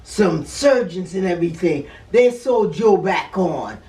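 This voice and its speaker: An older woman telling a story in an animated, dramatic way, repeating words and phrases as she goes. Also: on the low side, a little slow. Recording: roomy echo, distant mic, music behind.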